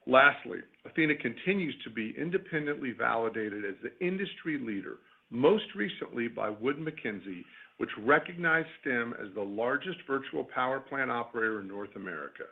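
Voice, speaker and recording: A man, a company executive, reading a scripted earnings call presentation in a formal, measured corporate way. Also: medium pitch, expressive, creaky, breathy edge. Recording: tinny narrowband phone conference line.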